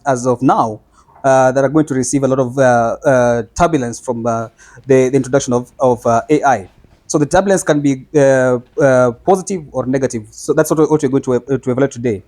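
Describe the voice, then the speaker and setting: Man on a podcast panel, mid-pitched, halting delivery full of "uh" and restarts, casual conversational tone. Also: a little mellow. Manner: hesitant, many fillers and restarts. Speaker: podcast discussant introducing the topic.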